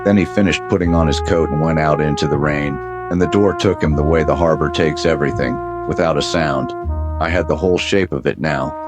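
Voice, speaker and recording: A man narrating as a world-weary private detective, deadpan with dry wisecracks, in a 1930s-style noir radio drama. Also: a bass voice, brisk, loud music behind the voice.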